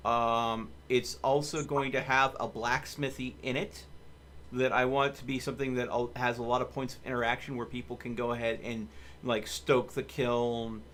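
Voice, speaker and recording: A man's voice: medium pitch, a bit bright, slight background noise. A man hosting a casual game livestream, chatting and thinking aloud in a rambling way. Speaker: livestream host. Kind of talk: casual chat while live streaming a video game.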